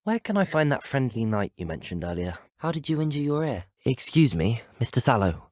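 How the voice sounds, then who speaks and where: A man playing a courteous young British student wizard, very animated. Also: medium pitch, a little fast, no echo, studio quality, natural voice. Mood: curious, frank, then polite, friendly. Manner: courteous, well-spoken. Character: polite, earnest, inquisitive teenage male student wizard. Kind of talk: scripted video game dialogue voice lines.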